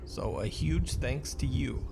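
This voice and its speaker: A man narrating a scripted countdown of creepy videos in a measured, dramatic storytelling manner. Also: medium pitch, gravelly, noisy background. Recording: music bed under narration. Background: music.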